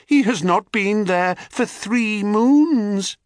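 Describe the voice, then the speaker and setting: A man's voice: high voice, expressive, slow, no echo, clean studio sound, voice pushed up. A man voicing a brave little female chaffinch who pleads urgently with a queen. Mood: urgent pleading.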